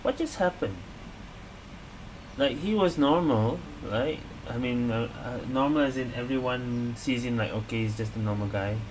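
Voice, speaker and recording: A man's voice: mid-range voice, moderate pace, noisy background. A man giving casual game playthrough commentary as a streamer, reacting and thinking aloud. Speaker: gaming streamer. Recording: loud game music behind.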